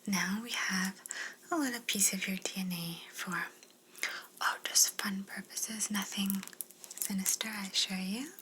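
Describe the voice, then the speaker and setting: A woman voicing a polite, curious alien examiner in an ASMR roleplay, speaking gently and formally in a breathy whisper. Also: mid-range voice, fairly even intonation, measured pace, slight background noise, poor audio. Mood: reassuring, fascinated.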